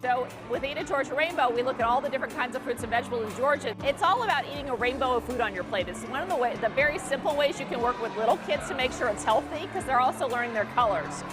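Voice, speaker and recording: A woman's voice: high-pitched, expressive, fast, hardly any pauses. A woman explaining things conversationally in an interview, as an education expert. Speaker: museum education director being interviewed. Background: clear background music.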